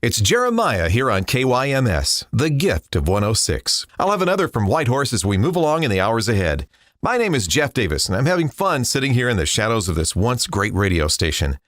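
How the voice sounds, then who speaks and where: A man's voice: medium pitch, sing-song, fairly quick, fairly bright tone, no room sound, studio quality. A man working as a radio host and voiceover artist, presenting in a radio DJ style.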